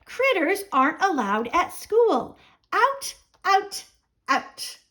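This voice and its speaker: Woman as a hollering, high-voiced lunch lady. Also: very animated, slow pace, pushed and bright, exaggerated voice. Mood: indignant. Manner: hollering, emphatic. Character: loud, bossy head lunch lady. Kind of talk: children's storybook read-aloud.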